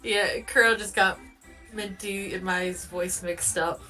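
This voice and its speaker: A woman with a high-pitched voice, a tabletop game player who tells rambling stories. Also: steady tone, slow, pushed and bright, recorded from a distance, soft background music. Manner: rambling anecdote teller.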